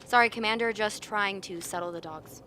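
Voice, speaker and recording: A woman's voice: medium pitch, normal speed, sharp tone, close to an everyday voice. A woman playing a blunt, uneasy dog handler at a polar base in a radio drama. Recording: clear background noise.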